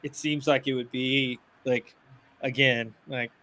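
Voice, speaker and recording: A man's voice: mid-range voice, steady tone, unhurried, sharp tone. A man hosting a casual chat, talking loosely and padding his sentences with fillers. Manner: leans on fillers like "like" and "you know".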